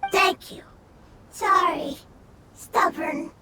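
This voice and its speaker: Tiny, very slow, childlike creature. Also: female voice, very high-pitched, fairly even intonation, noisy background, heavily acted. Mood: apologetic. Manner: halting, very short phrases. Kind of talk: video game voice acting. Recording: loud music under the voice.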